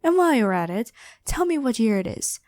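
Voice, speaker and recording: A woman's voice: a little high, sing-song, fairly quick, a little mellow, no room sound, clean studio sound. A woman playing an evil, haughty ancient Egyptian pharaoh who makes theatrical demands in a scripted ASMR roleplay. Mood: haughty, commanding. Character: evil, imperious ancient Egyptian pharaoh queen awakened from slumber.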